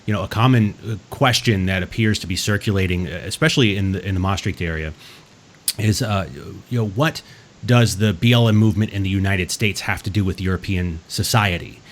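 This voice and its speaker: A male interviewer with a somewhat low voice puts his questions to a guest. His phrasing is measured and hesitant, and he often stops on fillers before reaching his point. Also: slight background noise.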